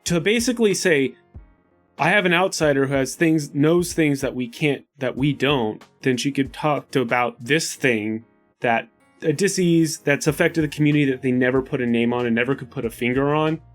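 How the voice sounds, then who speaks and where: A man's voice: medium pitch, normal speed, soft background music. A man chatting casually as a player in a tabletop roleplaying game, working out his arguments. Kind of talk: casual chat, in-game discussion.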